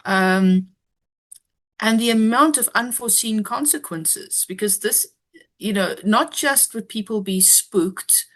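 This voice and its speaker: A woman, a guest giving measured, analytical commentary with frequent ums. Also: medium pitch, expressive. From South Africa. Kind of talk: interview discussion.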